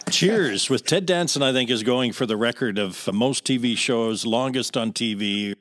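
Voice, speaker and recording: A man's voice: medium pitch, moderate pace, fairly bright tone. A man with a mid-range voice hosts an interview podcast. He puts questions and prompts to his guest in a conversational way.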